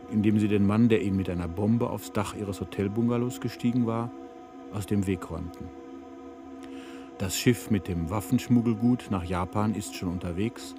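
A man's voice: fairly low pitch, moderate pace. A man working as a radio announcer, reading scripted drama credits and story recaps. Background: music.

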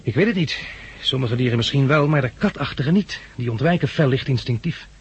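A man's voice: medium pitch, lively intonation, fairly quick, breathy edge, muffled recording. A man acting a practical, decisive survivor of a catastrophe in a 1960s Dutch radio drama, explaining things in an instructive way. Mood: alert, matter-of-fact. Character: practical, knowledgeable male survivor of a plant catastrophe. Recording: noisy 1960s radio broadcast recording.

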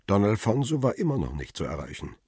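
An actor narrating in the first person as a battered photographer in a radio drama, measured and husky. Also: male voice, lowish voice, sing-song, warm tone, no echo, studio quality, full character voice. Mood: weary. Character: battered male photographer recalling events, wry and weary.

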